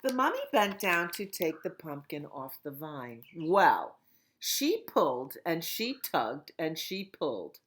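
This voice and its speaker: A woman children's librarian reading a picture book aloud at storytime, slow and expressive. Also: deep voice.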